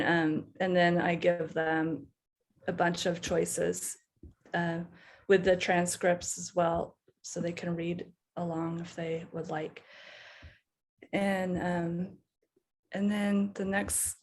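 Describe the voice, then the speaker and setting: A woman college instructor giving a conference presentation. She explains a class assignment step by step. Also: lowish voice. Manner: explanatory, walks through class materials step by step.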